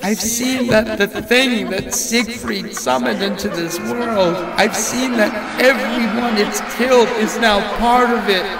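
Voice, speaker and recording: A man playing a doomed, haunted narrator recording his testimony in a horror audio drama, halting and distraught. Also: sits high, steady tone, creaky, over a noisy crowd. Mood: haunted, grim. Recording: roomy, slightly distant voice with music.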